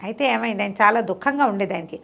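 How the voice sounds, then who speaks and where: A woman's voice: mid-range voice, fast talker, gravelly, fairly bright tone. A woman telling folk tales conversationally, in a chatty way, explaining with repeated lists of detail. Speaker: storyteller. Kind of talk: conversational bedtime folk storytelling.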